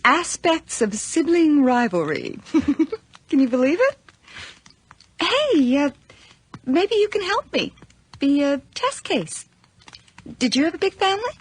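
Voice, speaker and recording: A woman's voice: sits high, big pitch swings, slow pace, pauses often, heavily acted. An actress playing a chatty, nervous young city-girl student with a hesitant, rambling manner in a horror radio drama. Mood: eager, chatty. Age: young adult. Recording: noisy radio drama recording with clicks.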